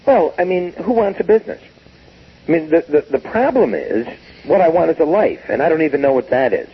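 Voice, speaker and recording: Husky sixty-something man, lively and emphatic; dull lo-fi tape sound. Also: a little high, a little fast. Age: 60s. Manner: emphatic, animated storytelling with acted quotes. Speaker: business guest expert. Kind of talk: recorded business interview.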